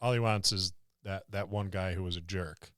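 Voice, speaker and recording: A man with a low, very clean and smooth voice talks casually as a podcast co-host. He muses as he goes, thinking aloud, and the sound is dry and close. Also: steady tone, normal speed, a bit bright, dry recording. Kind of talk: casual tabletop gaming discussion.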